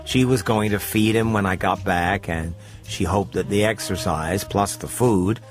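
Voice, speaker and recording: Low-voiced man narrating a story. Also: measured pace, soft background music. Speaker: narrator of a radio drama story. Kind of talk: first-person scripted story narration.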